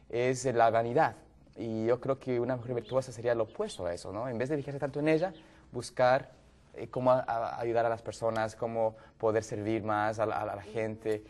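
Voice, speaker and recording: A young man from Ecuador on a studio panel, speaking thoughtfully with many pauses and fillers. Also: medium pitch, darkish tone. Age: young adult. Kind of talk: casual discussion. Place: TV studio set. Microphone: lapel mic.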